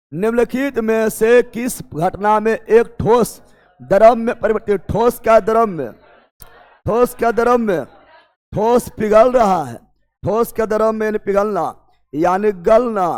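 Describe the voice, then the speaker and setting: High-voiced teacher drilling a class. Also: male voice, in a large hall. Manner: drills students with repeated call-and-response questions; loud, emphatic, urging students to hurry. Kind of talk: classroom exam coaching lecture.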